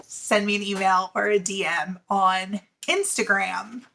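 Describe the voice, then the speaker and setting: A woman's voice: mid-range voice, steady tone, a little slow, creaky, bright, forceful tone. A woman in her forties hosting a knitting video podcast, talking casually and giggling often between fillers. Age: 40s. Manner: chatty and giggly, with many fillers. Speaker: middle school teacher. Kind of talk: casual chat to camera.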